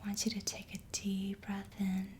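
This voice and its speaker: A woman whispering softly and slowly as an ASMR host, guiding a relaxing session. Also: medium pitch, completely flat delivery, a little hiss or hum. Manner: soft whispering, slow and soothing.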